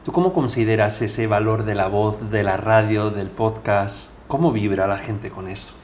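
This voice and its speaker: A man's voice: fairly low pitch, moderate pace, darkish tone, a little hiss or hum. A man hosting a podcast, speaking in a conversational interview style as he introduces and questions his guest.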